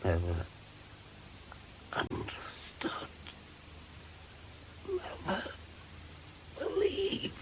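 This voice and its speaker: A man playing a weak, delirious, fearful injured man in a radio drama, whispering in halting, broken fragments. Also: high-pitched, wildly swooping pitch, full character voice, rough recording. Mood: delirious dread. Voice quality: breathy, croaking whisper. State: weak, barely conscious.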